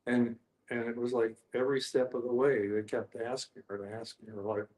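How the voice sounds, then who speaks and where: A man telling an anecdote in a group discussion, halting and circling back to rephrase. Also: mid-range voice, flat delivery, darkish tone. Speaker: discussion participant. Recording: echoey room.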